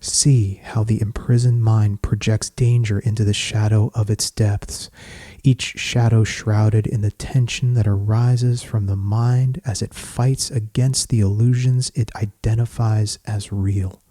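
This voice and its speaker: Male meditation guide: very slow, even delivery, soothing and solemn, with long pauses. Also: on the low side, no echo, studio quality. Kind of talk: guided meditation, scripted poetic narration.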